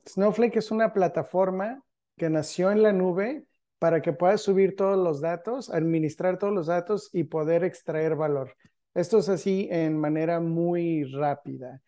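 Relaxed man with a mellow voice. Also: a little high, moderate pace. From Guadalajara, Mexico. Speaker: guest. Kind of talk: casual video-call interview. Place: home room, indoors.

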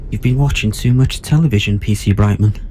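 A man acting in an audio drama as a cold, condescending murder suspect who speaks in measured, articulate phrases. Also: fairly low pitch, mellow, slight background noise, lightly acted. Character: cold, menacing, condescending male murder suspect, philosophizing killer.

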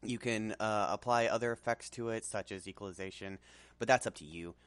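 A man presenting a casual software tutorial in an informal, hesitant way. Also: on the low side, a little fast, clear, resonant tone, no room sound.

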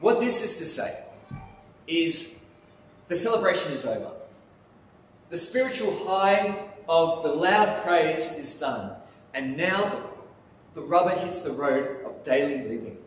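A man preaching a sermon in a church sanctuary, speaking in an animated way with lots of emphasis. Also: a little high, unhurried, pauses often, slight background noise. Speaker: pastor. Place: church sanctuary, in front of altar. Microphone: lapel mic, filmed by far camera. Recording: echoey church hall from far off.

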